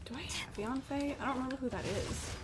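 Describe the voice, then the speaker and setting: A woman chatting casually and swearing freely as she livestreams a video game, with an animated manner. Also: sits high, quick pace, over loud music. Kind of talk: casual gaming stream commentary.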